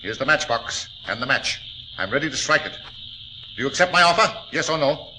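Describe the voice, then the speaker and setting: A man's voice: medium pitch, animated, fairly quick, sharp tone. A man playing a desperate, fanatical villain in melodramatic 1940s radio style. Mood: threatening, desperate. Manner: melodramatic, brooding. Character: desperate, fanatical male villain trapped in a mine. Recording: noisy old 1940s radio recording.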